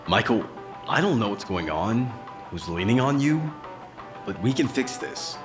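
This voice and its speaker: An actor playing a weary, desperate occult detective in a dark noir audio drama, with a wry, dramatic delivery. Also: male voice, on the low side, big pitch swings, measured pace. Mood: pleading. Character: weary, desperate male occult detective narrating his fight. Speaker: lead actor and narrator. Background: music.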